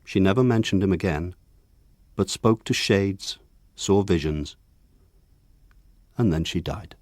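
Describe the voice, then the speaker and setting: An actor playing an older man recalling his village childhood in measured, reflective, lyrical radio drama narration. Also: low voice, a little mellow, no echo, studio quality. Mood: sombre, grieving.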